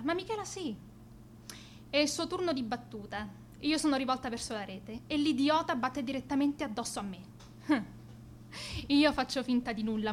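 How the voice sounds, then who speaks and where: An actress playing a vain, contemptuous high school girl bully in a radio drama monologue, haughty and self-absorbed. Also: sharp tone, slight background noise.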